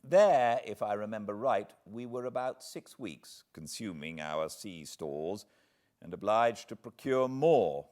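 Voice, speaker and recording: A man with a British accent reading a classic book aloud as an expressive audiobook narrator. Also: on the low side, measured pace. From Britain.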